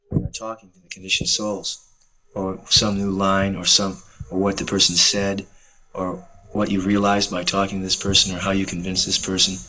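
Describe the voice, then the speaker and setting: A young man speaking earnestly and calmly about selling books to travelers, with faint hiss from old film audio. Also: sits low, poor audio. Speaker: book distributor. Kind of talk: reflective commentary over footage.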